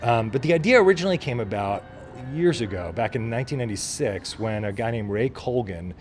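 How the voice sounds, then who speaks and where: A man's voice: mid-range voice, expressive, fairly quick, faint music behind. A man speaking informally and with enthusiasm as the guest in a casual interview, explaining his work as a writer and producer.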